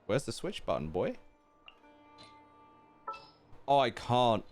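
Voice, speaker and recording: A man talks casually while streaming a game, his intonation lively and wide-ranging. He thinks aloud and keeps turning to his viewers with questions. Faint game music plays behind him. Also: medium pitch, normal speed.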